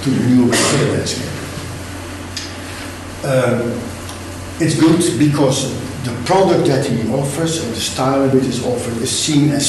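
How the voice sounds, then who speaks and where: A middle-aged man giving an academic lecture, speaking in a halting, self-correcting way with many restarts. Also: medium pitch, noisy background. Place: lecture hall. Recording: very echoey hall, mic far off.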